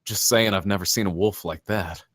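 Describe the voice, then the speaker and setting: Male actor as shaken survivor, low voice, guarded, terse, hesitant, film drama table read, dry sound. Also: fairly even intonation, moderate pace, fairly bright tone, dry recording. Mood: shaken, subdued.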